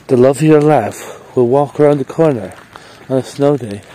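A man's voice: medium pitch, moderate pace, warm tone, a little hiss or hum, rough recording. A man, chatting casually and rambling as he walks a snowy park path, talking into a phone held at arm's length. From Ireland. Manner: chatty and rambling, playful sing-song bursts. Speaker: vlogger. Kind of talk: casual walking vlog. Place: outdoor park path in falling snow. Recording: faint outdoor ambience.

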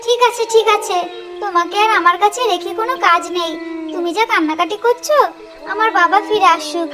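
A woman's voice: fairly quick, noisy background. A woman voicing a small, kind-hearted ghost girl in a children's cartoon, childish, pleading and whiny. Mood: troubled, pleading. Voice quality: squeaky, childlike. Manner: wheedling, whiny.

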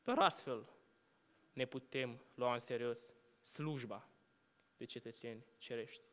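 A young male preacher speaks from a lectern in a church hall. His clear, bright voice rings out with a long reverb trailing each phrase. He builds rhetorical questions and lets his sentences sink to a hushed close. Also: medium pitch. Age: young adult. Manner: measured rhetorical preaching, rising on questions and dropping to hushed endings. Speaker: student preacher. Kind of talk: sermon. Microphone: lectern microphone.

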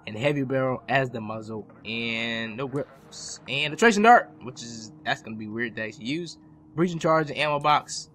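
A young man giving casual, profanity-laced commentary over his own console shooter gameplay, chatty and quick to laugh at himself. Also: mid-range voice, fairly bright tone, slight background noise. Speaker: gaming YouTuber.

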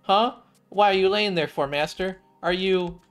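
A man voicing a bubbly, excitable young woman from a game. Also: sits high, unhurried, voice pushed up. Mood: excited.

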